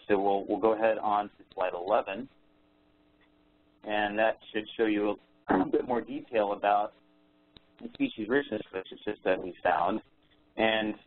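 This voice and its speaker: Male research presenter, lowish voice, plain-spoken informal explainer, heard through a narrow phone conference line. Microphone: phone conference call. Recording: thin, band-limited phone conference line.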